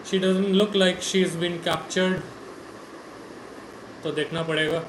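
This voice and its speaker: High-pitched man commenting on a game. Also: steady tone, fairly quick. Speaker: gamer. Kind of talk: casual gameplay commentary. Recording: roomy echo, background noise.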